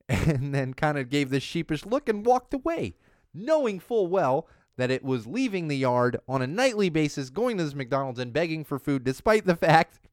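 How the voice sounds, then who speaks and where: Chatty male podcast host, slightly hoarse. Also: mid-range voice, dry recording, clean studio sound. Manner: chatty, rambling, self-correcting. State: losing his voice. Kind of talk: casual news podcast monologue. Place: studio.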